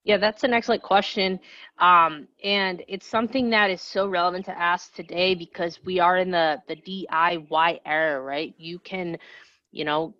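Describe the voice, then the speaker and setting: A woman from New Jersey, a singer-songwriter with a low voice, chatting casually as a guest in an interview and rambling through asides. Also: a bit bright, no echo, lo-fi sound.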